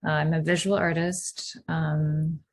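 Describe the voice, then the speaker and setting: A woman's voice: low-pitched, little pitch movement, slow pace. A woman from Hong Kong, a guest artist on a video-call panel, speaking in a thoughtful way with frequent ums. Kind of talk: panel discussion.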